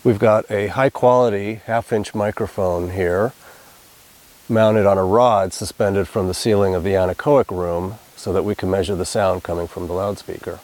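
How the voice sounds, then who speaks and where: Casting: male instructor, 40s, slightly low voice, clear explanatory demonstration style. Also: steady tone, moderate pace, darkish tone. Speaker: physics lab instructor. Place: small anechoic chamber, indoors.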